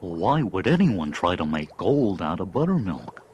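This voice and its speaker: An actor playing a friendly, simple, folksy creature sidekick, curious and plain-spoken, in a children's audio storybook. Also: male voice, low voice, sing-song, a little slow, darkish tone, lo-fi sound, a little hiss or hum.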